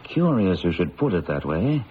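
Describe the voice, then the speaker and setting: A man's voice: low voice, big pitch swings, normal speed. An actor playing a breezy, unruffled skeptical man with a wry, reasoning manner in a radio drama. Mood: affable, wry. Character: breezy skeptical man who scoffs at ghosts. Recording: dull lo-fi old radio, faint noise.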